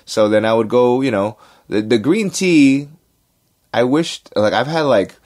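A man's voice: medium pitch, measured pace. Slangy man swapping café stories. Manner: slangy, animated storyteller acting out voices. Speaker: podcast co-host. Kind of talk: casual chat.

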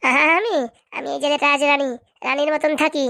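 A woman's voice: sits high, flat delivery, fairly quick, bright, forceful tone. A woman voicing a menacing veteran prison boss who taunts and brags like a queen in a comedy cartoon. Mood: haughty. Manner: taunting, bullying, bragging. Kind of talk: Bengali comedy cartoon dialogue.

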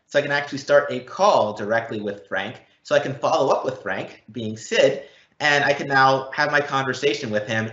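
A man presenting a software demo, explaining it step by step. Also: mid-range voice. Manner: explanatory, walking through step by step. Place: indoor room, live event. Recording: dull, roomy live event recording.

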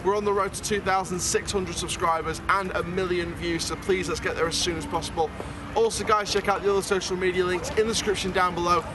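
A man's voice: high-pitched, steady tone, quick pace, background noise. A young man, reviewing a Halloween event in a chatty, upbeat vlog at night outdoors. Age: young adult. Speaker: theme park vlogger. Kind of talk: casual vlog review and interviews. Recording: loud crowd and music outdoors. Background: loud music and crowd.

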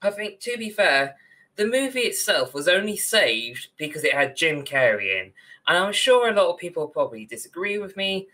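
Young male podcast co-host, bright and forward tone, casual discussion on a video call. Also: sits high, very animated, moderate pace, poor audio. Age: young adult. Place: indoor room on a video call.

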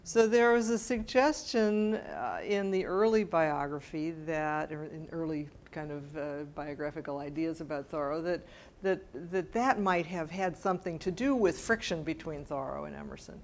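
A woman's voice: low-pitched, moderate pace, darkish tone. A middle-aged woman answering questions after a scholarly talk, informal and hesitant, often correcting herself as she thinks aloud. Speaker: lecturer answering audience questions. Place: bright mid-sized library meeting room with audience.

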